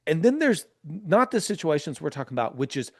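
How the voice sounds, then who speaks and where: A man hosting a business podcast, chatting casually with his guest and reading sponsor plugs in an energetic, affirming way. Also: a little high, brisk, no echo, clean studio sound. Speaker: podcast host. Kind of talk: casual interview and ad reads.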